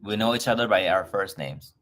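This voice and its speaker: Chatty man, lowish voice. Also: animated, brisk, fairly bright tone. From Philippines. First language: Filipino. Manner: chatty and effusive, greeting viewers. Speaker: livestream host. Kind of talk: casual livestream chat with viewers. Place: home in Los Angeles.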